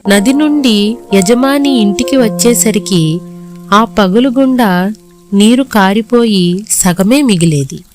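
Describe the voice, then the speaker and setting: A woman's voice: medium pitch, expressive, slow, long pauses, over music. A woman reading scripted narration for a moral story as its storyteller.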